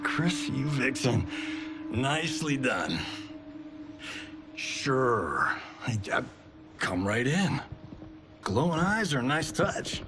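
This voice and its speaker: An actor playing a cocky, glib Hollywood action star in a video game, with an American accent and a sarcastic, theatrical manner. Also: male voice, medium pitch, sing-song, slow pace, long pauses, over music. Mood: flippant, puzzled. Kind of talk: cinematic video game dialogue.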